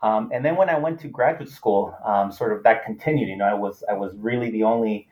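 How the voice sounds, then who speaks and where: A man's voice: lowish voice, brisk, lo-fi sound. A man from Los Angeles whose first language is Spanish, speaking as an author in a thoughtful academic interview, with frequent fillers and restarts. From Los Angeles, United States. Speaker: author, political scientist.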